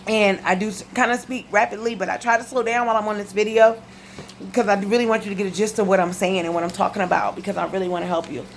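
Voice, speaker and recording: A woman's voice: medium pitch, fairly even intonation, quick pace, slight background noise. A woman with a lisp talking casually and emphatically to her viewers in a home video, repeating key points. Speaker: video blogger giving health testimony. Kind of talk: casual direct-to-camera chat. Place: living room at home.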